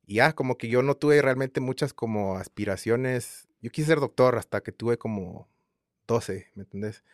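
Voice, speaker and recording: Laid-back Guatemalan man, low voice. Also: dry recording, clean studio sound. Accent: Guatemalan Spanish. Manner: relaxed rambling with many fillers and repeated tags. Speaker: guest singer. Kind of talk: casual interview chat.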